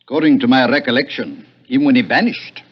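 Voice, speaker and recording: An actor playing a very fat, shady private detective with suave, courtly, formal manners in a 1960s radio mystery. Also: male voice, medium pitch, quick pace, slight background noise, close to an everyday voice. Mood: courtly disbelief. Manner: unctuous, courtly, formal phrasing. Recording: muffled vintage radio recording.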